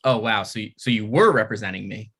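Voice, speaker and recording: Bright-voiced man, hesitant and stammering. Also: on the low side, fast, husky. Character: awkward struggling male sitcom writer. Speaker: writer and actor in a table read. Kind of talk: comedy table read.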